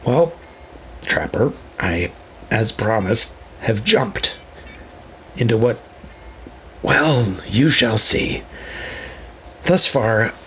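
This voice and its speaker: An actor playing a rueful radio operator in an audio drama, confiding in a wry, halting way with a gravelly voice. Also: male voice, fairly low pitch, expressive, fairly bright tone, heavily acted. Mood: wry, measured. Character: rueful lone male radio operator confessing a grave mistake. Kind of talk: found-footage audio drama monologue. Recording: dull lo-fi radio transmission with static.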